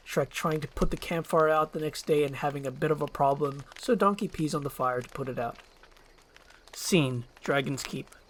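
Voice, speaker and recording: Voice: mid-range voice, slight background noise, low-quality recording. A storyteller narrating a screenplay as a fairy-tale story, describing the scenes in the present tense.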